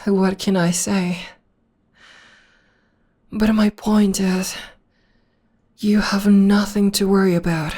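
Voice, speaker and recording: A young woman voicing a tender, protective witch girlfriend in an ASMR roleplay, speaking softly and often whispering close to the microphone. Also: mid-range voice, little pitch movement, slow, long pauses. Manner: soft and soothing, often whispering. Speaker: voice actor.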